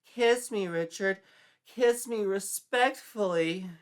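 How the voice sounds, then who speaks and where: A man's voice: high-pitched, slow, clear, resonant tone, voice pushed up. A man voicing an earnest, assertive teenage girl with emphatic, prim delivery in a comic storybook read-along. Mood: breathless.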